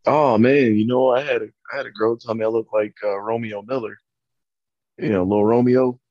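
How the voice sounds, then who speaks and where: A man in casual chat, halting and full of fillers. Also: mid-range voice, fairly even intonation, no room sound. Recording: dull, muffled lo-fi audio.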